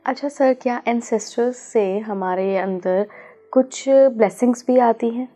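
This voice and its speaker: A woman's voice: on the high side, measured pace, warm tone. A woman hosting an interview, asking her guest questions and mixing English words into her speech. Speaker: interview host.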